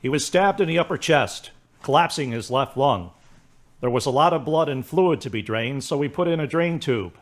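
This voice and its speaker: A man's voice: medium pitch, animated, moderate pace, lightly acted. A man acting a hospital doctor who explains an injury in a clinical, reassuring way. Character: calm, matter-of-fact hospital doctor. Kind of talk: amateur radio drama acting.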